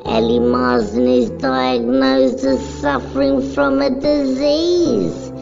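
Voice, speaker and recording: A woman giving a solemn, measured, scripted dramatic narration of a story. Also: high voice, creaky. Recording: dull recording, music behind.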